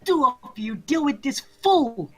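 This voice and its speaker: A woman voicing a furious, defiant female pony villain, shouting her threats theatrically. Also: sits high, sing-song, unhurried, exaggerated voice. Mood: furious, commanding.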